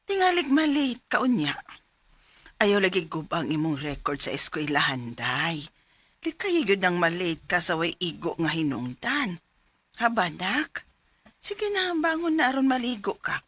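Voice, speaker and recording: A woman playing a doting, anxious mother in a melodramatic radio drama, drawn-out and motherly in delivery. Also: lowish voice, big pitch swings, a bit bright, heavily acted. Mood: tender coaxing.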